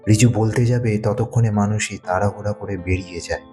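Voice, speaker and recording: Male narrator for a thriller audio story, lowish and mellow, faint music underneath. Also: steady tone, brisk.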